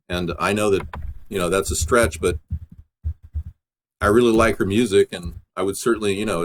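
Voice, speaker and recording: A man's voice: lowish voice, slight background noise, poor audio. A man hosting a livestream, chatting casually and in a rambling way as he responds to viewers. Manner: rambling, replies to viewers' messages. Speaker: livestream host and amateur musician.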